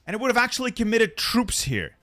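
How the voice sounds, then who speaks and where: A man's voice: very high-pitched, sing-song, a little fast, pushed and bright, dry recording, clean studio sound, faint music behind. Exasperated Dutch man muttering over a game. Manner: frustrated, swearing, muttering to himself. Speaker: gaming YouTuber. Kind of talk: strategy game commentary.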